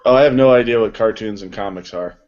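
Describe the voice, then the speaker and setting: Low-voiced wry man, muffled lo-fi audio. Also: quick pace, darkish tone. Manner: wry, self-deprecating. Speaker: voice actor on a panel. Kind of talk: casual unscripted panel chat.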